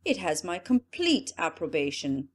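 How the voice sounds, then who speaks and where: A woman's voice: lowish voice, big pitch swings, unhurried. A woman voicing a vain, anxious male emperor in a storybook, fretting to himself then praising aloud. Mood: forced praise. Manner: fretful muttering, then forced graciousness.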